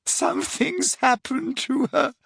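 A man voicing a frightened teenage schoolgirl, high and tearful, breaking into sobs. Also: slow pace, gravelly, fairly bright tone, husky, dry recording, exaggerated voice.

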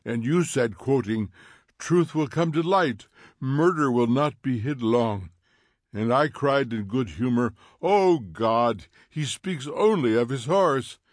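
A man voicing a smug, needling fire captain who mocks in quick theatrical bursts, in an audiobook reading. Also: mid-range voice, lively intonation, no room sound, clean studio sound. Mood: gloating. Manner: taunting, theatrical, fast mocking bursts.

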